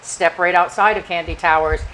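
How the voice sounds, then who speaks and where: An older woman from the United States, co-hosting a casual chat and jumping quickly from point to point. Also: fairly low pitch, steady tone, fairly bright tone, a little hiss or hum, low-quality recording. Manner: chatty, talks over her partner, jumps between points. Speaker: co-host.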